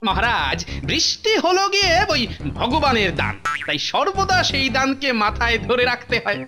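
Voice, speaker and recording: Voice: medium pitch, big pitch swings, moderate pace. An actor voicing a clever, sly court jester in a cartoon, coaxing and explaining with teasing hesitations. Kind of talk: animated cartoon dialogue. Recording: loud music behind the voice.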